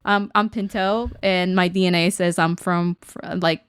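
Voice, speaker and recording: A woman's voice: on the low side, fairly even intonation, normal speed, clear, resonant tone, dry recording, clean studio sound. A woman from Guatemala co-hosting a podcast in casual, chatty, informal conversation. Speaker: podcast co-host. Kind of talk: casual unscripted podcast chat.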